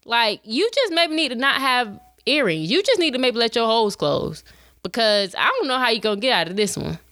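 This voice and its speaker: A woman in her twenties hosting a show, talking in a chatty, animated way and calling her listeners y'all. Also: fairly high pitch, brisk, no room sound. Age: 20s. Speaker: podcast host. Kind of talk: casual chat.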